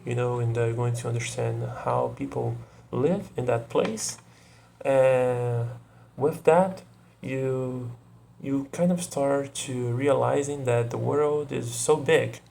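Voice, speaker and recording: Young Brazilian man, Brazilian accent, deep gravelly fry, mellow tone, slow word-searching casual talk. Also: slight background noise. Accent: Brazilian Portuguese. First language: Portuguese. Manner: searching for words, restarts, frequent "you know". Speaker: video creator. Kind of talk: casual monologue to camera.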